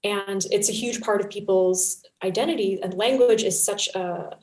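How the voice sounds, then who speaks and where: A woman talks conversationally as a panelist, with a mid-pitched voice in a roomy, echoing space. Her delivery is effusive and wandering, like someone thinking aloud about her craft. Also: steady tone, moderate pace, darkish tone. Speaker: panelist, fiction podcast writer.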